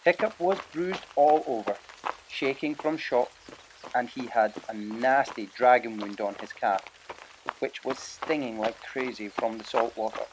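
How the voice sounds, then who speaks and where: A man's voice: mid-range voice, unhurried, background noise. A man reading a children's adventure audiobook as a scripted narrator.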